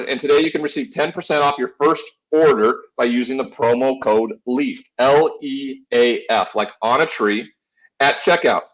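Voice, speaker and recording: A man's voice: medium pitch, a bit bright, lo-fi sound. A man in his forties hosting a casual sports talk show, chatting and telling personal stories in a rambling, boisterous way with free swearing. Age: 40s.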